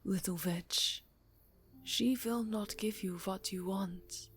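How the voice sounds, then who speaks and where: A woman playing a seductive, aristocratic vampire countess in an ASMR roleplay, teasing and formal, with a breathy voice that often drops to a whisper. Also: mid-range voice, fairly even intonation, slow pace, close to an everyday voice. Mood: persuasive, conspiratorial. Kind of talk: ASMR roleplay, slow intimate acting. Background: music.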